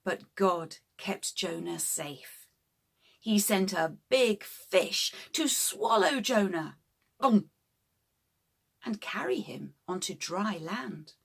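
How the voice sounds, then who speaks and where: A woman narrating a scripted children's bedtime story in simple, deliberate phrases for young listeners. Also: medium pitch, very animated, gravelly, slightly rough.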